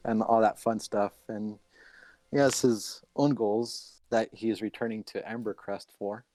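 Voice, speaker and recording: A man's voice: lowish voice, a little mellow, poor audio. A man who plays tabletop games, introducing his character. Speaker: tabletop game player.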